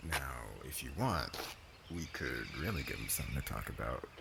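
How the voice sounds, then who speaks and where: Over clear ambient noise comes a low, lively-pitched young warrior, acted by a man. He is a devoted, laid-back husband in a romance roleplay, teasing and slangy. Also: normal speed, exaggerated voice. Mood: playful, affectionate. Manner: casual slangy talk, stammers when flustered.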